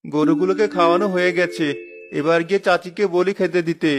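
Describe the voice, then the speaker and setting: A man's voice: sits high, steady tone, a little fast, faint music behind, natural voice. A man voicing a meek, overworked orphan village boy in a cartoon, speaking in a plaintive, obedient way. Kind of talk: Bengali cartoon voice acting.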